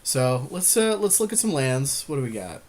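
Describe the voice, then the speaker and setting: Regular guy voice, medium pitch, chatting card game strategy on a podcast. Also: very animated, moderate pace. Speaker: podcast co-host. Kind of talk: casual strategy chat about a card game.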